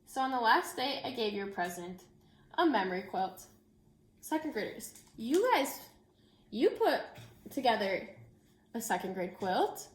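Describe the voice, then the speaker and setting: A woman's voice: fairly high pitch, very animated, unhurried, pauses often. A woman teacher reading a picture book aloud and chatting informally and directly to young children. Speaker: elementary school teacher. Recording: echoey room.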